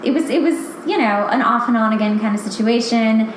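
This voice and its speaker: A woman's voice: on the high side, a little fast, echoey room, slight background noise, poor audio. A woman hosting a podcast, chatting casually with frequent laughs and fillers. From Los Angeles. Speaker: podcast host and author. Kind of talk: casual chat.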